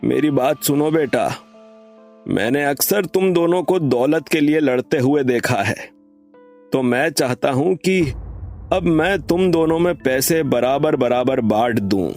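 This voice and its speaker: A man's voice: mid-range voice, expressive, measured pace, darkish tone, faint music behind. A man voicing a worried, earnest elderly father of grown sons in a moral story, pleading and admonishing. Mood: earnest, firm. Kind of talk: acted moral story dialogue.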